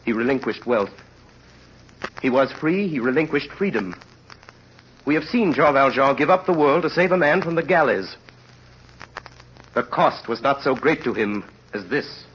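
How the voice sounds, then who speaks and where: An actor playing a grave, impassioned storyteller, narrating a tragedy solemnly and theatrically in an old radio drama. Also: male voice, mid-range voice, expressive, moderate pace, long pauses, a bit bright, a little hiss or hum, close to an everyday voice. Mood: somber. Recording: muffled old radio recording.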